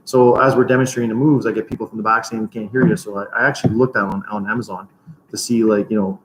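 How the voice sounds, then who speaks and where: A man's voice: fairly low pitch, steady tone, fast, background noise. A man co-hosting a martial arts gym podcast, chatting casually and laughing often. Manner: laughs often, loose rambling talk. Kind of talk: casual chat.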